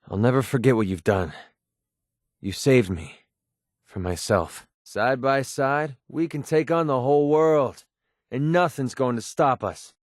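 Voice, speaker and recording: A man's voice: medium pitch, no echo, clean studio sound, lightly acted. An actor playing a cynical young mercenary gunman in a video game, talking in a casual, sardonic, world-weary way. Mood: sincere, grateful. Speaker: game companion character. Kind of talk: scripted video game dialogue.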